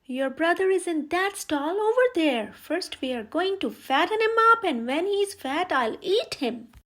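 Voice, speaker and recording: A woman's voice: extremely high pitch, expressive, a little slow, fairly bright tone, pitched above the performer's own voice, exaggerated voice. A woman voicing a wicked old storybook witch, sly and bossy, with a commanding, menacing manner. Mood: harsh, commanding. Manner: commanding, scolding, falsely sweet when coaxing.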